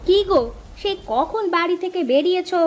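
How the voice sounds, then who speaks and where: A woman's voice: very high-pitched, fairly quick, noisy background. A woman playing a caring, anxious village mother and wife in a cartoon. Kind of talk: cartoon story dubbing.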